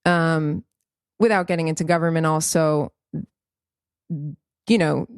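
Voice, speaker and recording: A woman's voice: low voice, steady tone, takes it slowly, smooth, no echo, studio quality. A woman from Israel co-hosting a podcast, explaining at length in an earnest, rambling way with restarts. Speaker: podcast co-host. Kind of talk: casual podcast chat.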